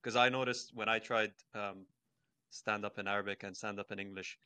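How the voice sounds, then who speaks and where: A man co-hosting a casual talk show, teasing the guest in a deadpan, mock-conservative way. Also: fairly low pitch, fairly quick, smooth, no echo. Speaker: co-host. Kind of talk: casual interview chat.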